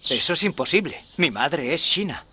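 A man's voice: a little high, expressive, moderate pace, bright, forceful tone, a little hiss or hum. Earnest young jungle man. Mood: firm. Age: young adult. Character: sincere, protective young jungle-raised wild man. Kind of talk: animated cartoon dub.

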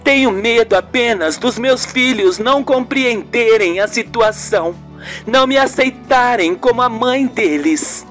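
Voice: mid-range voice, a little slow, fairly bright tone, soft background music, pitched above the performer's own voice, natural voice. A performer voicing an anxious, devout woman in love in an amateur radio soap, melodramatic and heartfelt. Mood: fearful.

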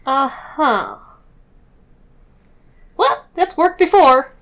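A woman's voice: sits high, sing-song, drawn-out words, a bit bright. A woman playing a tabletop game in casual, joking chat.

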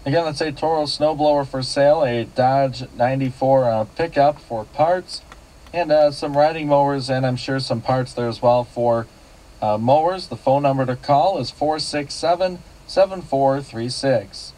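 A man's voice: mid-range voice, normal speed, dark tone, poor audio. A man hosting a local radio classifieds call-in show, politely repeating details back. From United States.

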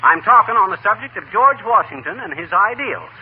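Boastful American husband, radio comedy, high swooping voice, pompous, folksy, tinny 1940 recording. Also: male voice, very animated, fairly quick, a little hiss or hum. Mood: self-important. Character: blustering, boastful small-town American husband. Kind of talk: 1940s radio comedy acting.

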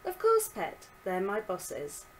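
A tired father, gentle at first and then scolding firmly, as a woman reads his part. The storybook voice has a lively lilt and a faint hiss underneath. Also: mid-range voice, slow pace. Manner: firm, scolding. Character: tired father, gentle but quick to anger.

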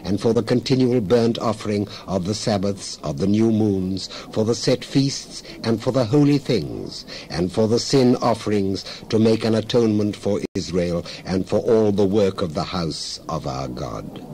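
A man reading scripture aloud as a dramatized narration, in solemn, measured phrases. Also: lowish voice, lively intonation, dark tone, a little hiss or hum, poor audio.

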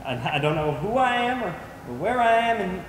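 A young man acting in a stage play as a dying, confused patient, tender and frightened, speaking haltingly. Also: high voice, big pitch swings. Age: young adult. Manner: halting, repeats words. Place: on a theater stage, distant camera. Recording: echoey theater hall from far off, noisy.

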